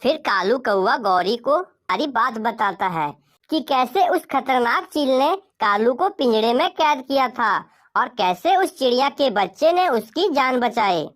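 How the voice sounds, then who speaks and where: A woman's voice: fairly high pitch, expressive, a little slow. A woman narrating a scripted children's cartoon story as a storyteller.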